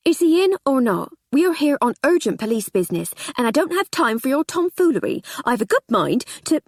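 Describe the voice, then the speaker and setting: A woman voicing a proud, sleepy young male village constable with a folksy, excitable manner. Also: on the high side, normal speed, no room sound, clean studio sound, voice pushed up. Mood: impatient.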